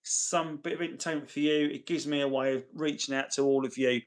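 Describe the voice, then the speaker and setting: A man hosting a casual livestream, chatting chummily with viewers, his voice tired and getting gravelly. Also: a little high, fairly even intonation, brisk. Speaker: livestream host. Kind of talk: casual livestream chat, reading and answering viewer messages.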